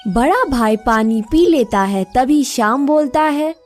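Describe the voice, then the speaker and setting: A woman's voice: high voice, expressive, unhurried, darkish tone, soft background music. A woman narrating a village cartoon story in a scripted storytelling manner.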